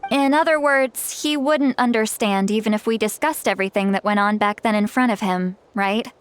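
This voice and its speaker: A wry, knowing woman, voiced by an actress who jokingly plays the granny, even in pitch and measured. Clean game dialogue. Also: close to an everyday voice. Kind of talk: game cutscene voice acting.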